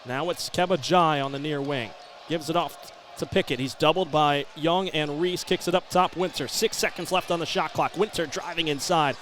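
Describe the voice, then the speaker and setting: An American man doing brisk, descriptive live basketball play-by-play that can rise to excited calls. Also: fairly high pitch. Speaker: play-by-play sports announcer. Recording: live arena broadcast, background ambient noise. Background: basketball game ambience.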